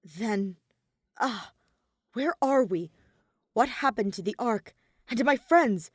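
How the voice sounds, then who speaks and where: A woman's voice: fairly high pitch, expressive, takes it slowly, dry recording, pitched above the performer's own voice. A woman voicing a stubborn, weary teenage boy in a dramatic audiobook reading, earnest and incredulous. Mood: demanding, distressed. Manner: earnest, protesting, dry.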